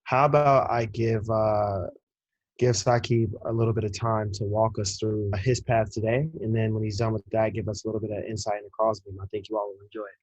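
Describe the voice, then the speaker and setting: A man's voice: lowish voice, little pitch movement, a little fast, darkish tone, dry recording, lo-fi sound. A man hosting a podcast, upbeat and informal, introducing and questioning his guest. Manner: upbeat, calls guest brother. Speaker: podcast host. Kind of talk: casual podcast interview.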